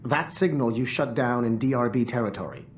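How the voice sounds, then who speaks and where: An actor playing a grudging, smug corporate tech expert in a video game, deliberate and sarcastic. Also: male voice, medium pitch, close to an everyday voice. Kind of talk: video game cutscene acting. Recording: background noise.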